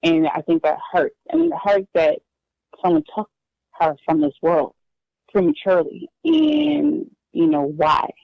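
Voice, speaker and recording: Hesitant woman with gravelly voice. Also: lowish voice, big pitch swings, no room sound. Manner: hesitant, halting with restarts. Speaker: adoptee guest. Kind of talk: emotional personal interview. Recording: dull lo-fi dry recording.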